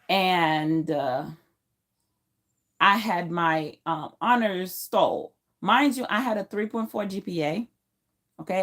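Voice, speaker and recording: A woman's voice: fairly low pitch, fairly bright tone. A woman around thirty from Haiti, a non-native English speaker who learned it as a teenager, tells personal stories to a live stream in an informal, emphatic way, repeating phrases. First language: not English, learned it as a teenager. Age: late 20s to early 30s. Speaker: livestream host.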